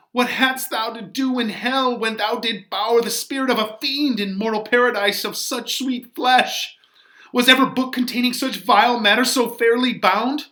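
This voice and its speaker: A man's voice: extremely high pitch, bright, forceful tone, heavily acted. A man voicing an anguished, passionate young Shakespearean lady, declaiming verse theatrically with fierce emotion.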